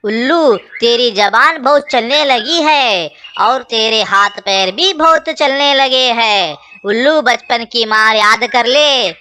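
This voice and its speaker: Woman voicing a high, bright, pleading cartoon crow husband. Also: slow pace, few pauses. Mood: angry, threatening. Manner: pleading, melodramatic. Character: hapless, whining, henpecked male cartoon crow husband. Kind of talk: children's cartoon story dialogue.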